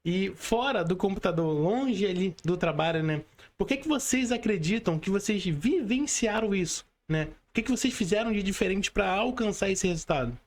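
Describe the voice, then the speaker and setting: A man's voice: high voice, animated, normal speed. A Brazilian man hosting a podcast, talking casually and adding frequent tag questions. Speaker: podcast host. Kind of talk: casual interviewing and channel promotion.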